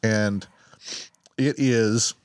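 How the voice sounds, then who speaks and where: A man's voice: on the low side, steady tone, drawn-out words, clear, resonant tone, dry recording, clean studio sound. A man co-hosting a podcast in casual banter, joking and rambling with lots of restarts. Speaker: podcast co-host. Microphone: headphones and mic stand.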